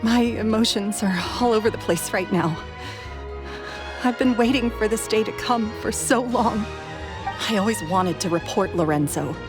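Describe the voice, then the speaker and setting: A woman's voice: medium pitch, moderate pace, natural voice. Woman doing fragile young lady in a game, shy n emotional, mid voice, music under it. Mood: tearful relief. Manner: hesitant, emotional. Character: timid, tender, grieving young woman, grateful. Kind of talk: video game voice acting.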